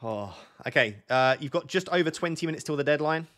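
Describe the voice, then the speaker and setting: A man's voice: a little high, lively intonation. A man in his thirties with a sore, fading voice, reading viewer questions and thinking aloud on a live stream from a home desk. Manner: thinks aloud, reads questions from chat, talks quieter and slower. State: losing his voice, sore throat. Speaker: fantasy football streamer. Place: indoor home studio at a desk.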